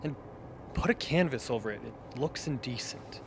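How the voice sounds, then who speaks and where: A man's voice: mid-range voice, lively intonation, moderate pace, noisy background, lightly acted. A man playing a grumpy, squeamish camp hand in a radio drama, grumbling as he talks. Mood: annoyed.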